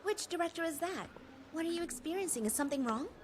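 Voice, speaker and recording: A woman's voice: extremely high pitch, lively intonation, quick pace, background noise. An actress playing a polite female emergency room nurse in a dubbed TV medical drama. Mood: helpful.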